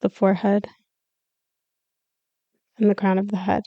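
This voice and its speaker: A woman leading a scripted guided meditation as a yoga nidra teacher, giving calm, soothing, measured instructions with pauses. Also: medium pitch, dry recording, lo-fi sound.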